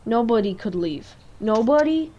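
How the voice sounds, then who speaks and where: A woman's voice: on the high side, expressive, unhurried, mellow, lo-fi sound. A woman playing an earnest, frightened young boy in a radio play. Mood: earnest, uneasy. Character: earnest, frightened young boy who believes comic stories.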